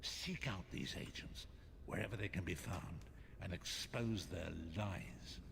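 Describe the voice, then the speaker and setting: Grave old blind prophet, deep-voiced. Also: male voice, animated, vocal fry, fairly bright tone, a little hiss or hum, full character voice. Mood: solemn, urgent. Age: older. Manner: solemn, measured, formal. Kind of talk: fantasy video game dialogue.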